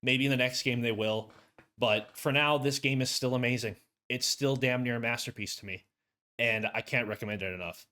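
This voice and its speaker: A man with a hoarse voice giving a casual, rambling review of a video game as a YouTuber, full of fillers and restarts. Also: mid-range voice, fairly even intonation, moderate pace, sharp tone. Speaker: gaming YouTuber. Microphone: USB condenser desk mic.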